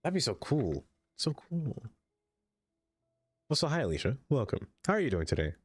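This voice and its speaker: A man streaming a game in casual livestream chat, with a stuffed-up nose, chatty and excitable as he greets viewers by name. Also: mid-range voice, normal speed, husky, no room sound, studio quality.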